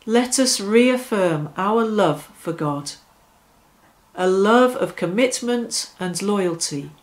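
A middle-aged woman leading evening worship in a measured, reverent way, with deliberate phrasing, speaking indoors. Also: mid-range voice. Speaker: church worship leader. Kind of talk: devotional reflection and led prayer. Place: small furnished room indoors.

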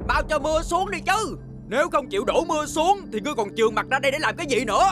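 An actor playing an excitable, hapless, melodramatic man in a dubbed cartoon. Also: very high-pitched, quick pace, over music. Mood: irritated. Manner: exclamatory, melodramatic.

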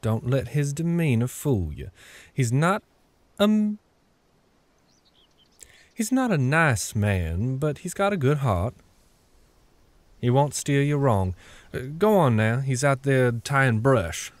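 A man's voice: mid-range voice, very animated, slow, pauses often, no room sound, pitched above the performer's own voice. A man voicing a kindly old ranch boss in a roleplay audio drama. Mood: reassuring, folksy.

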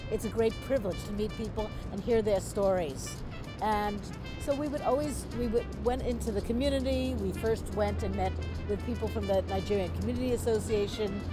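A woman's voice: fairly high pitch, rough recording. Earnest woman filmmaker recalling her work. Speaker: documentary filmmaker. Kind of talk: interview recollection. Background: background music.

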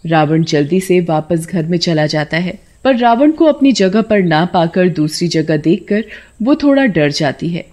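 Story narrator: woman, slightly low pitch, scripted storytelling delivery. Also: animated, a little slow, darkish tone.

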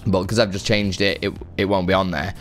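A man in his thirties hosting a casual, chatty software tutorial at a close desk microphone indoors. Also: sits low, level tone, brisk, faint music behind. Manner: chatty, informal. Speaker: tutorial host. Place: home room with guitars, indoors. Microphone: close desk microphone on boom arm.

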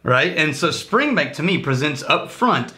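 A man in his thirties hosting a casual whiskey tasting, joking and lecturing with lively gestures in a home bar. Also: a little high, a little slow, noisy background. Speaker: whiskey reviewer and teacher. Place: home bar room lined with bottle shelves.

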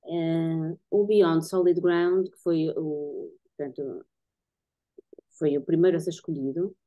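Portuguese woman chatting about her art, soft dark tone, bit muffled video call sound, feels her way through sentences. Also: low voice, steady tone, takes it slowly. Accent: European Portuguese. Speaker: guest photographer and artist. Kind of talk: casual explanatory conversation about own work. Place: home bedroom-living room, indoors. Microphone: computer mic on a video call. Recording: dull, lo-fi video-call audio.

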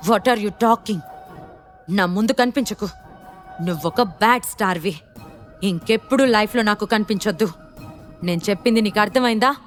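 An arrogant story villain, acted out by a woman narrator, seething with entitlement and revenge. He taunts and threatens in a raised, forceful voice. Music plays behind him. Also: fairly high pitch, expressive, moderate pace, few pauses, pitched above the performer's own voice, natural voice. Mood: furious. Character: arrogant, vengeful rich villain man, enraged and threatening.

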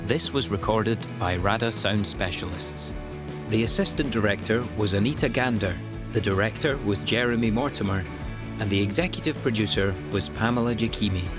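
A man's voice: fairly low pitch, normal speed. A man reading scripted end credits as the announcer of an audio drama. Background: guitar music.

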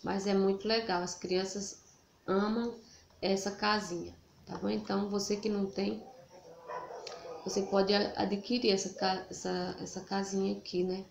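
A woman in her thirties giving casual devotional advice in a family vlog, earnest and warm, checking in with "right?" again and again. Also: medium pitch, level tone, moderate pace, vocal fry. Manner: earnest, repeats "né?" and "tá bom?" often. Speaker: family vlogger. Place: small room indoors. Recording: echoey room, faint ambient noise.

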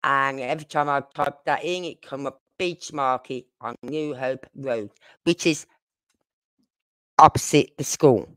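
A woman's voice: rumbling low, measured pace, fairly bright tone, no echo. A woman with a British accent hosting a casual true-crime livestream, chatting in a rambling, informal way. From United Kingdom. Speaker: livestream host.

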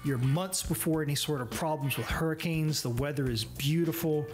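A man's voice: fairly high pitch, moderate pace, over music. A man narrating a cruise vlog in a casual, rambling way with fillers, recorded outdoors on a boat with a 360 camera. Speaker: travel vlogger.

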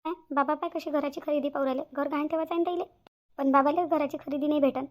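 A woman's voice: high voice, steady tone, fast, slight background noise, natural voice. A woman voicing an anxious, stubborn young village bride-to-be in a cartoon, plaintive and fretful, with a rural Maharashtrian accent. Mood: defiant. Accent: rural Maharashtrian Marathi. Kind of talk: cartoon comedy dialogue.

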